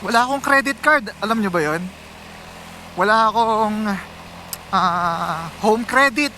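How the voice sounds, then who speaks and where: High, bright-voiced Filipino man vlogging. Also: animated, measured pace, a little hiss or hum. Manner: conversational, mixing in English phrases. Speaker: motorcycle vlogger. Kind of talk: casual vlog chat to viewers while riding. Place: outdoors, riding a motorcycle on city roads.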